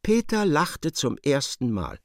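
Casting: articulate man for literary radio-play narration, lively and expressive, dry close-miked studio delivery. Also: fairly high pitch, slow pace, vocal fry, dry recording. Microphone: close studio microphone.

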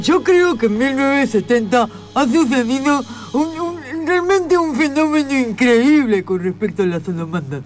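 Man acting a very high-voiced crackpot visionary. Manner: insistent, halting, repeats phrases. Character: earnest crackpot visionary man, obsessed with aliens. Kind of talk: improvised radio theater comedy. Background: music bed.

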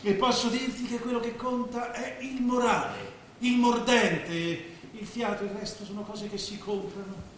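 An actor playing a brash, smooth-talking journalist and boxing patron in a stage play, commanding and patronising. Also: male voice, very high voice, normal speed, a bit bright. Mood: commanding, fired up. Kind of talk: theatrical stage dialogue. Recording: distant, very echoey, noisy stage recording.

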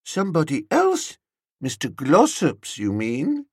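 A man voicing a dreamy, sentimental young woman in an audiobook, with a soft, breathy, sighing delivery. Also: fairly high pitch, wildly swooping pitch, takes it slowly, no room sound, studio quality, pitched above the performer's own voice, heavily acted. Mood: puzzled. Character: dreamy, sentimental, wistful young woman, whimsical and slow-witted. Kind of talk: audiobook character voice.

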